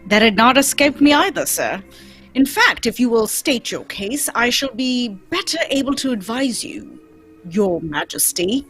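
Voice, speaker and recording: Woman playing an animated, high-pitched gentleman detective. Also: faint music behind. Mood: courteous, assured. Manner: theatrical, brisk, instructive. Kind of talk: live radio play reading, old-time radio acting.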